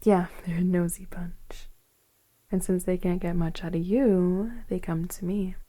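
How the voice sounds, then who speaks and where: A woman playing a gently flirtatious, affectionate woman in her forties in an intimate ASMR roleplay, speaking softly and breathily, often near a whisper, without hurry. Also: fairly low pitch, flat delivery, a little slow. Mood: gently teasing. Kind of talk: ASMR romantic roleplay, intimate one-sided dialogue.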